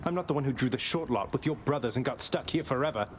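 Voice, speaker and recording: A man's voice: medium pitch, expressive, fast, slight background noise, natural voice. A man voicing a sarcastic, defiant young prince in a video game. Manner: sarcastic, dry, exasperated. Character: defiant young male prince, sarcastic, weary of his father.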